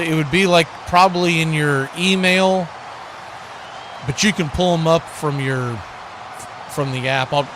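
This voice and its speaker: A man hosting a live football watch party, switching between play-by-play and casual chat. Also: fairly high pitch. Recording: noisy feed with steady background noise.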